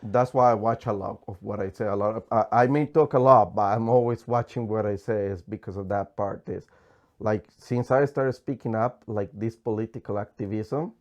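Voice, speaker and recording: A man's voice: fairly low pitch, fairly even intonation, normal speed, a little mellow, dull audio. A man from Colombia with a Colombian Spanish accent, a native Spanish speaker, talking passionately and emphatically as a guest economist in an interview. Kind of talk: candid political interview.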